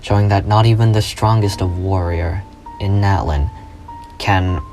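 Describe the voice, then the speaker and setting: A man's voice: deep voice, fairly even intonation, a little slow. A man presenting a fan theory as a scripted video essay, with dramatic storytelling phrasing. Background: loud music.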